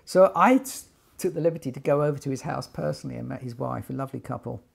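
A man's voice: medium pitch, fast, creaky, slightly rough. An older man telling his story informally to camera, animatedly retelling conversations and sometimes repeating words as he goes. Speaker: loudspeaker designer telling company story. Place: small attic sitting room, indoors.

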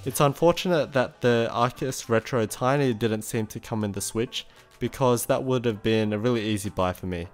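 A man's voice: medium pitch, normal speed, faint music behind. A young man with an Australian accent, narrating an easygoing voice-over of a shopping trip. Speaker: vlogger.

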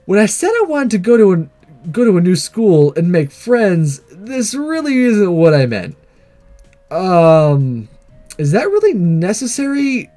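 A man's voice: sits high, big pitch swings, measured pace, mellow, faint music behind. A man doing a let's play, reading visual novel dialogue aloud in a theatrical way with casual asides. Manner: theatrical read-aloud, acting out the characters. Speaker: let's player.

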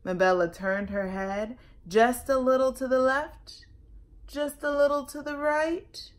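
A woman in her thirties reading a children's picture book aloud, deliberate and expressive, recorded at arm's length at home. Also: sits high. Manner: animated, deliberate, emphatic storytelling. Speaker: storytime host. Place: home living room and kitchen, indoors.

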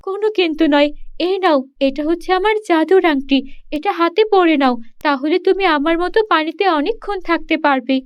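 Woman voicing a high-pitched lovestruck mermaid princess. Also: normal speed, dry recording, dull audio. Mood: insistent. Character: lovestruck young mermaid princess, eager and insistent. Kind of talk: children's cartoon voice acting.

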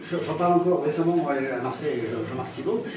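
A man speaks in a hesitant, anecdotal way, full of fillers and restarts. His voice sits a little high. He is picked up from a distance in a booming, echoey room, with a crowd audible behind him. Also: quick pace. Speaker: panel guest recalling an actor. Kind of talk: anecdotal conversation. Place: classroom with chalkboard, indoors. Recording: echoey room, distant mic, audience noise.

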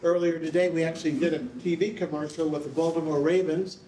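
A man's voice: on the high side, steady tone, fast, warm tone. An older man giving informal remarks to an audience with emphatic, exclamatory showmanship. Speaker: project leader giving remarks. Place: large concrete rehearsal hall. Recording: echoey hall, distant, noisy background.

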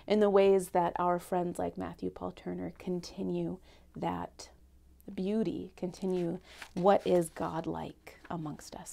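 A young woman giving a gentle Lent reflection at home and reading a picture book aloud, speaking softly and often near a whisper into a lapel mic. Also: on the low side, vocal fry. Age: young adult. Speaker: church speaker. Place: home living room, indoors.

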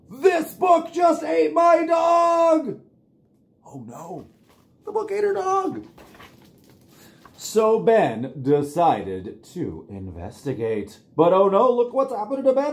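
A middle-aged American man reading a picture book aloud to young children in an animated, encouraging way. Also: very high voice, takes it slowly, raised voice. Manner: animated, exclaiming, simple encouraging talk to little ones. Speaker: children's librarian reading online storytime. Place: small plain room indoors.

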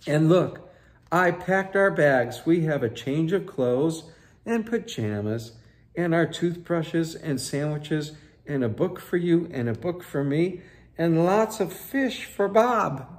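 A man acts out an eager little girl from a picture book. The voice is pitched high and swings about, exclaiming and insisting with excitable energy. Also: takes it slowly. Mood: excited.

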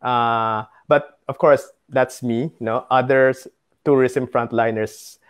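A middle-aged man with a Filipino accent speaks as a guest in an online interview. His voice sits at an average pitch, and he phrases his answers hesitantly, as heard over a video call. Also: fairly even intonation, slow, clear, resonant tone. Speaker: tour guide and business founder, interview guest. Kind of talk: webinar interview. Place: home study with bookshelves.

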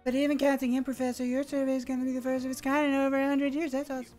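A man's voice: extremely high pitch, monotone, very fast, a little mellow, pitched above the performer's own voice. A man voicing an eager, cheery young female research assistant, reading game dialogue with exaggerated perky enthusiasm. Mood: eager, excited. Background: game music.

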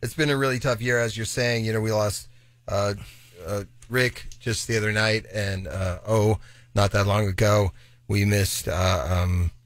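American radio guy, kinda low voice, halting off-the-cuff talk, dry studio sound. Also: fairly even intonation, dry recording. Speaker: radio co-host.

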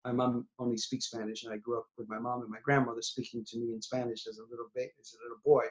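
A man's voice: mid-range voice, flat delivery, fast talker. A man in his forties from New York, a singer-songwriter chatting in an interview from a small room at home, often restarting and trailing off. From New York City. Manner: rambling, many restarts, trailing off quietly. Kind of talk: casual interview over video call. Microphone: webcam on video call. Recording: dull lo-fi video call audio.

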